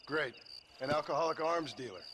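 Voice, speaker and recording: An actor playing a gruff, sardonic reformed American outlaw in a western game, speaking curtly and dryly. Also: male voice, on the high side, animated, brisk, a bit bright. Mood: dry impatience. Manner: dry, curt, sardonic. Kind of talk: video game cutscene acting. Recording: noisy outdoor game ambience.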